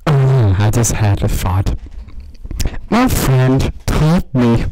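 A man's voice: medium pitch, sing-song, slow, over music, poor audio. A young man doing a joking parody of ASMR in his bedroom, laughing and swearing into a handheld mic held close. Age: young adult. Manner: jokey, laughs often, swears. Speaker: creator of his own channel. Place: small bedroom. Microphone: handheld condenser mic held close, headphones.

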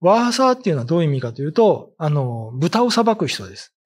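A man's voice: on the high side, sing-song, quick pace, a little mellow, breathy edge. A middle-aged man giving a casual, explanatory talk on folklore in a conversational manner, speaking into a desk microphone. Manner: conversational, explaining with everyday examples. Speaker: ghost story writer and commentator. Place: indoors, seated at a desk. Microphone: desk microphone with pop filter.

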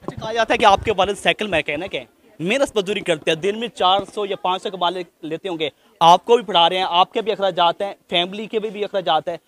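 Male field host, high voice, formal and courteous, from Pakistan. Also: fast talker, a bit bright. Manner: formal, polite. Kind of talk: street interview reporting.

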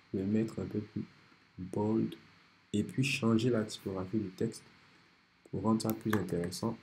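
A man with a cold, calmly walking through a software design tutorial step by step over a screen recording. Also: on the low side, poor audio. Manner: relaxed, step-by-step explaining with many restarts. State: has a cold.

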